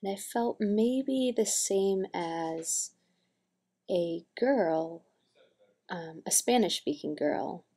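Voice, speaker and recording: A woman playing an earnest, wistful young deaf signer in a dramatic monologue, speaking in halting, deliberate phrases. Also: fairly low pitch, animated, dark tone, heavily acted. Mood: wistful, hesitant.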